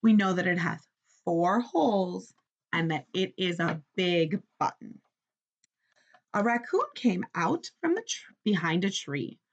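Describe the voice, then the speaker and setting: Slow, expressive woman reading to children. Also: on the low side, dull audio. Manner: expressive, instructional, prompting with questions. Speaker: teacher reading aloud to children. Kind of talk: children's story read-aloud with questions.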